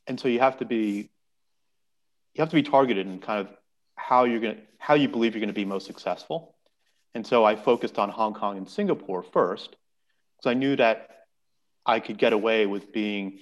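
A man speaking as a podcast guest, explaining earnestly and often restarting his words. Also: lowish voice. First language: English. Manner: earnest, explanatory, repeats words while thinking. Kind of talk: casual podcast conversation.

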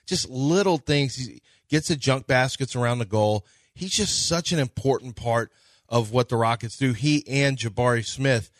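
A man's voice: medium pitch, moderate pace, no echo, clean studio sound. A man hosting a sports talk radio show, rambling and joking, often restarting his sentences.

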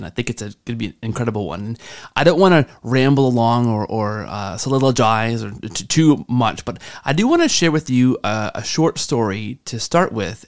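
A man hosting an interview podcast, speaking in an earnest, enthusiastic way. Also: mid-range voice. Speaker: podcast host. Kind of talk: podcast introduction and interview talk.

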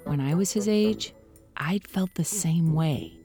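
A woman with a fairly low voice narrates her podcast in a calm, gentle way. The delivery is scripted and unhurried, with faint music beneath her words. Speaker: podcast host.

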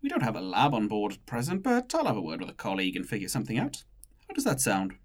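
A considerate, reserved time-travelling captain for audio drama: formal wording, highly animated pitch. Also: quick pace. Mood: kind. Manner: formal, courteous phrasing.

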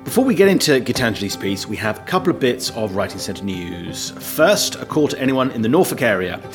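A man's voice: lowish voice, very animated, fairly quick. A man hosting a podcast, reading scripted announcements steadily with upbeat lifts on new items. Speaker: podcast host. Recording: guitar music playing underneath.

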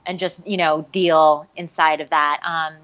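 A woman's voice: low-pitched, measured pace, highs cut off. Chatty woman recalling her voice work. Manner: chatty, many "like" fillers, animated re-enacted quotes. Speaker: voice actress, interview guest. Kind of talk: casual interview storytelling.

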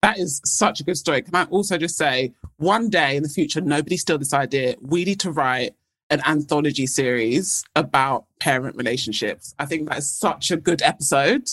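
A woman's voice: deep voice, moderate pace, a bit bright. A woman co-host giving recommendations in an enthusiastic, informal chat. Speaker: podcast co-host.

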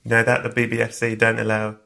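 Man, gravelly voice, jokey podcast host, casual interview chat. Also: mid-range voice, monotone, fast talker, sharp tone. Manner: jokey, teasing.

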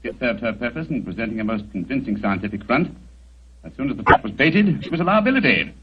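An actor playing a shrewd, unruffled British detective with a dry wit in a 1940s radio drama. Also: male voice, mid-range voice, animated, fast talker, lightly acted. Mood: accusing. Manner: crisp, wry, urbane. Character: shrewd, unruffled English bachelor detective, dryly witty. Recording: dull, noisy old 1940s radio recording.

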